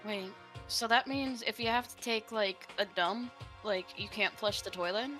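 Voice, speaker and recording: A woman's voice: mid-range voice, steady tone, moderate pace, a bit bright, soft background music. A woman casually chatting and reading a visual novel game aloud with friends. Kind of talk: casual gaming chat and animated read-aloud.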